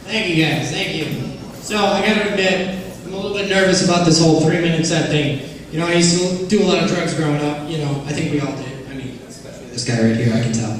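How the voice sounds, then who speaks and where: A young male stand-up from Chicago rambles through his set with hesitant, self-mocking asides. His voice runs high and bounces around a reverberant comedy club. The mic catches him from a distance. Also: fairly even intonation, fast.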